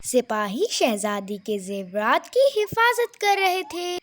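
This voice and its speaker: Very high-pitched, animated woman storyteller. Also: slow. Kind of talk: scripted children's story narration.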